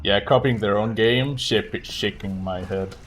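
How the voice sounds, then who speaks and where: A man's voice: lowish voice, measured pace, fairly bright tone, faint music behind. A man doing casual live commentary while playing a game, chatting to viewers as he goes. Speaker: speedrunner streaming live.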